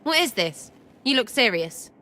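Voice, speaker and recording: A woman's voice: a little high, very animated, normal speed, bright, forceful tone. An actress voicing a cheeky, irreverent young elf woman who teases and uses crude slang. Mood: giddy. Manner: playful, teasing, crude slang. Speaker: companion character.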